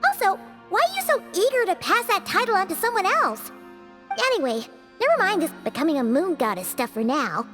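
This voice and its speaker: A tiny, excitable fairy girl from an anime game. The voice is extremely high, squeaky and childlike, swinging widely as she chatters with open curiosity. Music plays behind her. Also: a little fast. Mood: confused, inquisitive. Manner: informal, talks of herself in third person. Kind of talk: anime-style video game voice acting.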